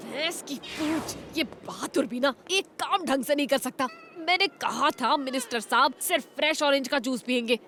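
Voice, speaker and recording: A woman's voice: sits high, normal speed, few pauses, noisy background, lightly acted. A woman playing a bossy, theatrical police inspector in a children's cartoon, with exaggerated, exclamatory delivery. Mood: scolding. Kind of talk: children's comedy cartoon dub. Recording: loud music under the voice.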